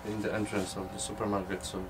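A man's voice: lowish voice, level tone, fast, a crowd in the background. A man with a Polish accent, chuckling often as he answers casually in an interview. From Poland. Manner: chuckles often while talking. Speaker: artist and gallery co-runner being interviewed. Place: inside a small old caravan. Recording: faint background ambient noise.

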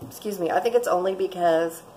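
A woman's voice: lowish voice, a little fast, darkish tone, a little hiss or hum, rough recording. A woman, chatting casually and rambling through a cooking vlog in her home kitchen, recorded by a camera close by. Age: 40s. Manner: chatty, rambling, laughs often. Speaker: vlogger. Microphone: fixed camera at close range.

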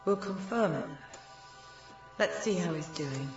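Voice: medium pitch, expressive, a little slow, lightly acted. An actor playing a wry fantasy caseworker who explains things matter-of-factly in an audio drama. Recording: echoey, distant, muffled, music behind.

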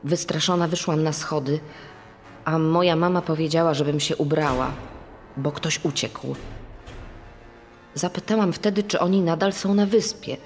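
A woman's voice: sits low, moderate pace, long pauses. A prison guard's daughter acted by a woman recalls her childhood in a dramatised true crime story. Faint music plays under her words. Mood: quiet recollection. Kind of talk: dramatised recollection.

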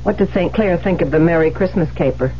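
An actress in a 1970s radio drama playing a defensive, high-strung widowed mystery writer with a theatrical, insistent manner. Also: female voice, a little fast, natural voice. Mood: tense, brittle. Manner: theatrical, insistent, faltering under pressure. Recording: muffled, noisy 1970s radio broadcast.

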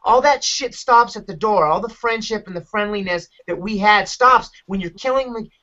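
A man's voice: high voice, normal speed, noisy background. A man in his thirties giving emphatic, blunt podcast commentary over a video call from a room at home. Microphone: video call on computer. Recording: dull, lo-fi video call audio.

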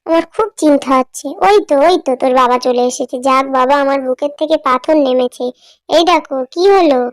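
A woman's voice: high voice, no echo, natural voice. A woman voicing an anxious, caring village wife in a cartoon.

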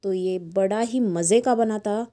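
Indian woman, middle-aged, mellow warm tone, chatty cooking voice-over, close mic. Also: medium pitch, unhurried. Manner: chatty, mixes English words into Hindi. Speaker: cooking channel host. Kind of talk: voice-over recipe narration.

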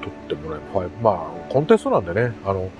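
A man's voice: sits low, wildly swooping pitch, racing, lo-fi sound, over loud music. A middle-aged man from Japan talking casually to camera as a hobbyist vlogger, in an easygoing, rambling, self-deprecating way. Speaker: amateur radio hobbyist vlogger. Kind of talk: casual vlog talk to camera.

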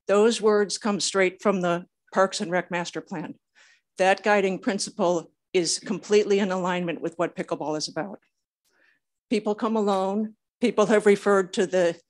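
Older woman reading a prepared public comment. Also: mid-range voice. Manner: nervous. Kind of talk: prepared speech read aloud at a public meeting.

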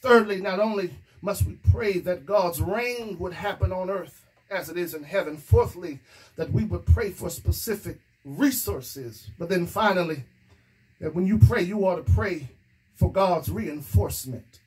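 A man's voice: high-pitched, unhurried, a little mellow, breathy edge, low-quality recording. A middle-aged male pastor preaching a sermon into a stand microphone in a small room, building emphasis and dropping in "amen" as he goes. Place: small indoor room against a plain wall. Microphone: stand microphone in front of mouth.

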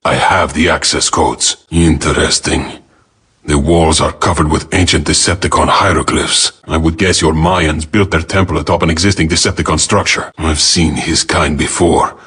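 Deep-voiced, aloof robot spy. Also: male voice, fairly bright tone, husky, heavily acted. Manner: clipped, aloof, dry. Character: cool, proud, self-assured robot spy. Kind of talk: video game voice acting.

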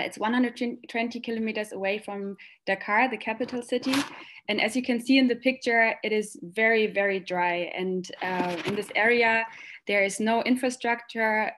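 A woman giving an informal webinar presentation over a video call, in a hesitant, halting way. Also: medium pitch.

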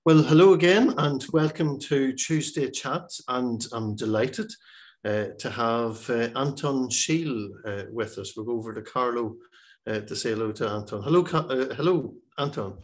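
A middle-aged man hosting an interview over a video call, warmly hesitant with many pauses and restarts. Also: mid-range voice. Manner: halting, many fillers and restarts, encouraging. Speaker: host. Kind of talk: interview host. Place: home room.

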